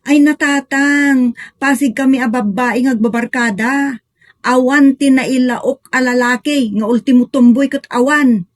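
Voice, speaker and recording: A woman's voice: high-pitched, steady tone, unhurried. A woman playing a plaintive, cheeky young daughter with an Ilocano accent, complaining expressively in a comedic audio drama.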